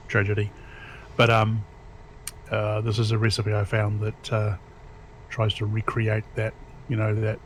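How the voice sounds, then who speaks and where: Male, casual livestream guest, lowish voice, halting and rambly. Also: slight background noise, poor audio. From United States. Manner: rambling, many fillers and restarts. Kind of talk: casual chat.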